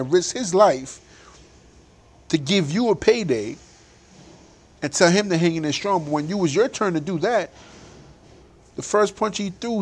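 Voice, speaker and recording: A man's voice: sits high, breathy edge. A young adult man, a boxer, talking animatedly and emphatically in a casual interview, laughing often.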